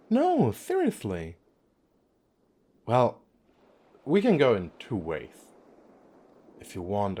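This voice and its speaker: A playful, effeminate young god of the sea, acted by a man, speaks straight to the listener in an ASMR romance roleplay. His voice is fairly low and takes its time, yet it swings widely in pitch as he teases and coaxes. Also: a little mellow, exaggerated voice. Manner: teasing, coaxing, addressing the listener directly.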